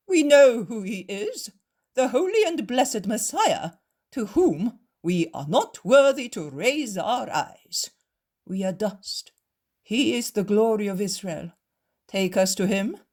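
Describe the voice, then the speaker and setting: A woman voicing proud, vainglorious male Pharisees who berate and then flatter. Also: medium pitch, voice pushed up. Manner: indignant shouting, then wheedling.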